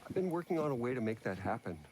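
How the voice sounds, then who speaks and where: A man plays an earnest tech founder in a video game. His mid-pitched voice is troubled and a bit hesitant, over light background noise. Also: big pitch swings, rapid-fire, a little mellow, natural voice. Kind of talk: video game cutscene acting.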